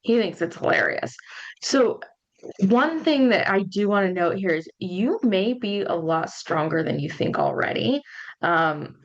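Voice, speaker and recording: Woman in her 30s, kinda hoarse, chatty podcast coach, dull lo-fi audio. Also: mid-range voice, lively intonation, a little slow, a little hiss or hum. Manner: chatty, conversational, frequent ums and likes. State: slightly hoarse, losing her voice. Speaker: podcast host and postpartum nutrition coach. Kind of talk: casual solo podcast talk.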